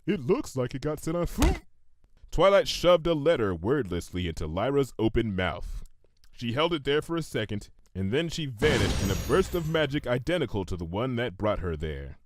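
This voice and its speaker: A man's voice: mid-range voice, sing-song, moderate pace, a little hiss or hum. A man narrating a comedic fan fiction story in a theatrical, emphatic manner, drawing phrases out for suspense.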